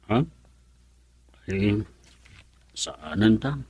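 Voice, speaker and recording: A fretful soft-hearted husband, ilocano radio drama, husky voice, no room sound. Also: male voice, fairly low pitch, animated, very slow, dry recording, exaggerated voice. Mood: humble, pleading. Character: sentimental husband fretting over wife leaving for abroad.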